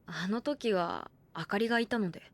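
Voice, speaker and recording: A woman's voice: mid-range voice, moderate pace, close to an everyday voice. An actress playing a guarded, blunt young woman in an anime audio drama, speaking politely and a little hesitantly. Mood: hesitant, defensive. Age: young adult.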